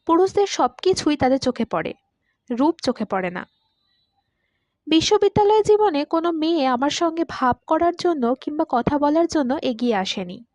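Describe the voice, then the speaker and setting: A woman's voice: sits high, darkish tone, no room sound. A woman voicing a courteous, talkative man of about forty who confides his story wistfully in an audiobook. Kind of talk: audiobook character dialogue.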